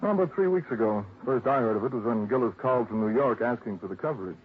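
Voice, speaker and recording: A man's voice: mid-range voice, lively intonation, fast talker, breathy edge. An American man playing a matter-of-fact, businesslike insurance agent in a 1950s radio drama. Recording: narrow, faintly hissy old radio recording.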